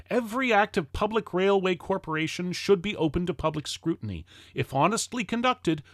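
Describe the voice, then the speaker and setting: A man reading an old-fashioned political address aloud in a formal, declamatory and emphatic manner with deliberate phrasing. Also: a little high, no echo, clean studio sound. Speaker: reader of a historical political speech. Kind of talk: formal oratory, read-aloud 19th-century address.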